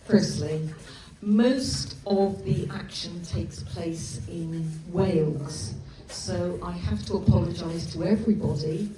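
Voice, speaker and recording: Slow-reading author with a Gloucestershire accent. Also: female voice, fairly low pitch, noisy background. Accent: Gloucestershire English. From England. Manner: chatty and self-deprecating, frequent ums. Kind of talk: live reading of her own novel. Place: event hall with a live audience. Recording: echoey live hall from far off with audience.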